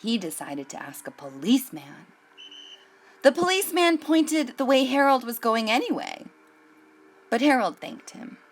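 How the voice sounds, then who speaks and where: Female storytime host for young kids, unhurried, highly animated delivery. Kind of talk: expressive picture-book read-aloud for children.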